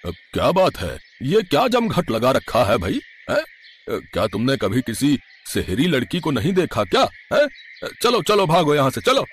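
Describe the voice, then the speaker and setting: An actor playing a stern, old-fashioned elderly village patriarch who scolds emphatically in an animated family story. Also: male voice, mid-range voice, moderate pace, slight background noise. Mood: gruff, scolding. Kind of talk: animated moral story dialogue.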